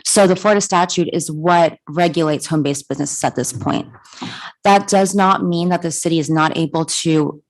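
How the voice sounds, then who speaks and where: A soft-spoken city attorney with a notably low voice walks a commission meeting through an ordinance. She is methodical and keeps clarifying her points step by step. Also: low-quality recording. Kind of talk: explaining an ordinance at a public meeting.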